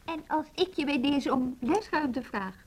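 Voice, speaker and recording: Assertive woman, high voice, lively intonation, direct, quick-witted, radio drama. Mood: wry, pressing. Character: assertive, sharp-witted woman pressing her case. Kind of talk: Dutch radio play acting. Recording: noisy old radio drama recording.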